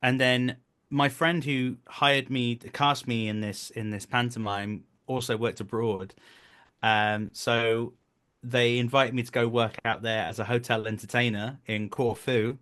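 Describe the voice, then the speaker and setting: A man's voice: mid-range voice, steady tone, unhurried, a bit bright, smooth. A British man in his thirties chatting casually as an interview guest, explaining things and telling stories in a talkative way. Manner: chatty, explanatory, breaks into brief acted quotes. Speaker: guest, voice actor and stage performer.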